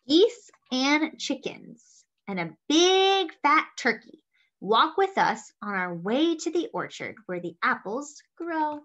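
A young woman reading a picture book aloud to children with animated, expressive delivery. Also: sits high, slow. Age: young adult. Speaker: children's story-time reader. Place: small room indoors at home. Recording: slightly dull webcam audio.

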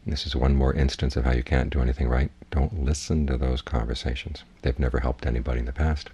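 A man with a husky voice giving a guided meditation talk as a Buddhist teacher, in measured, plainspoken instruction. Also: extremely low pitch, steady tone, warm tone, dry recording, dull audio.

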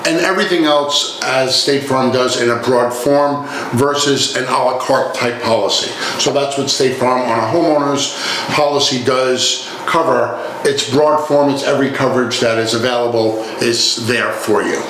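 A man's voice: medium pitch, steady tone, normal speed, hardly any pauses, a bit bright. A middle-aged man explains services like an insurance agent, polite and businesslike in conversation. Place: small meeting room, indoors. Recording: very echoey room, faint background noise.

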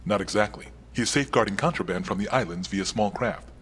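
A man playing an unflappable right-hand man to a crime boss in a video game, formal, clipped and deadpan. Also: lowish voice, quick pace, a little hiss or hum, close to an everyday voice. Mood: composed. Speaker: crime boss's adviser. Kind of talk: cinematic game dialogue.

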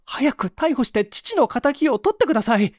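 A man voicing an upset young gentleman, a bereaved son demanding justice politely and urgently in an audiobook. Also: very high-pitched, big pitch swings, moderate pace, no echo, clean studio sound, voice pushed up, heavily acted. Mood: agitated, pleading. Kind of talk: dramatic audiobook reading.

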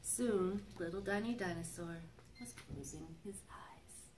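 A middle-aged woman, a children's librarian, reading a picture book aloud to young children in an expressive, sing-song way. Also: lowish voice, takes it slowly. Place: library reading area with magazine racks, indoors. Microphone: camera microphone a few feet away. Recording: faint background room noise.

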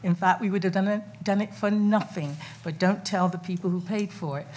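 A woman's voice: mid-range voice, fairly even intonation, a little fast, darkish tone. A middle-aged woman speaking on a festival panel in a conference room, talking chattily with many fillers and restarts. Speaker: panel speaker, documentary maker. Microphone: table mic on stand.

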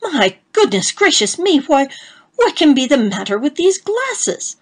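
A woman playing a gallant young gentleman who talks in florid, exclaiming phrases. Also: medium pitch, normal speed, natural voice. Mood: aghast. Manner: florid, exclaiming, stammering in shock.

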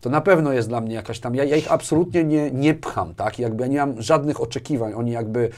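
A man's voice: mid-range voice, fairly quick. Middle-aged man, conversational, repeating words. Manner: animated hand gestures, repeats words as he thinks. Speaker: interview guest. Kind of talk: casual conversational interview. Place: small furnished indoor studio. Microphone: lapel mic.

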